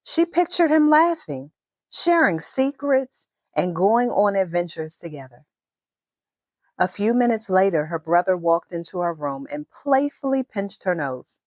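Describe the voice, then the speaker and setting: A woman narrating in an animated, expressive children's storyteller style. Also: medium pitch, a little slow, no echo, highs cut off. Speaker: children's author and podcast host.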